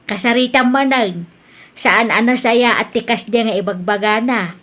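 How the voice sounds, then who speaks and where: High-voiced, grumbling older Ilocano mother, played by an actress. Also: moderate pace, fairly bright tone, natural voice. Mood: gossipy, concerned. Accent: Filipino, Ilocano. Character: older protective mother and grandmother, blunt and grumbling. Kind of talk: Ilocano radio-style drama acting.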